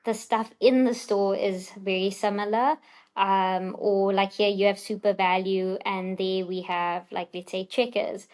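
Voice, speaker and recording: A woman's voice: mid-range voice, steady tone, a little slow, darkish tone. A woman with a South African accent chatting casually as an interview guest, in a chatty, giggly manner.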